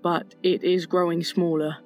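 A man's voice: a little high, flat delivery, unhurried, faint music behind, close to an everyday voice. A man voicing a brave, wounded young boy in a storybook reading, weary but gallant. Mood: weary, grave.

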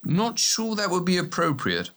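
Man doing a higher-pitched, cautious young apprentice. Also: expressive, normal speed, fairly bright tone. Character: cautious, reluctant young male apprentice, the squad's sensible one. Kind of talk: acted audiobook dialogue.

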